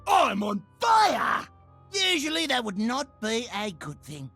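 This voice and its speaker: A manic, vengeful inventor from a video game. His voice is sharp and gravelly, theatrical and unhinged, with music behind. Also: very high voice, huge pitch swings, takes it slowly, full character voice. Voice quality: raspy, creaky. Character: manic, vengeful male mad scientist and inventor. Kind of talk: video game character voice lines.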